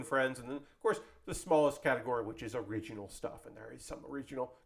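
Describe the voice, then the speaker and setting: A man's voice: medium pitch, a bit bright. A man hosting an anime video series in a casual, rambling, conversational style, self-deprecating and given to tangents and mild swearing. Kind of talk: casual rambling vlog commentary.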